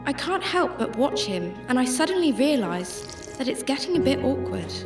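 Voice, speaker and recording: A woman's voice: sits high, sing-song, normal speed, recorded from a distance. A woman playing a compassionate, troubled homeless shelter volunteer, narrating and speaking in a radio drama. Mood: reflective, concerned. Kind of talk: first-person radio drama narration and dialogue. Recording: roomy sound with music behind.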